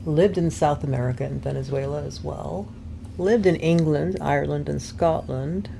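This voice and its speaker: Chatty woman guest with a gravelly edge. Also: low voice, normal speed, mellow, a little hiss or hum. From born in Vermont, raised abroad. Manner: chatty, frequent ums, laughs easily. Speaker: guest, personal development coach. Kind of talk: casual conversation.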